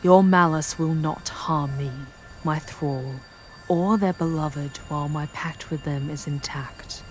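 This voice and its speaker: A woman as low, unhurried demon guide Lilith. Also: darkish tone, faint music behind. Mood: defiant, teasing. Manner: measured, intimate, often falling to breathy whispers. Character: charming female demon Lilith, proud, tender protective guide. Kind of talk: ASMR fantasy roleplay acting.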